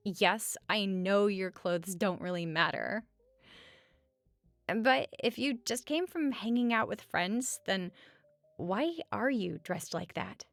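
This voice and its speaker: Casual, creaky-voiced sarcastic roommate, played by a woman. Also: medium pitch, expressive, no echo, soft background music. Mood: wry teasing. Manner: casual, hesitant pauses, wry teasing. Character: sarcastic, teasing but caring young female roommate. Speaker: audio roleplay performer. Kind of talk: conversational comfort audio roleplay at regular speaking volume.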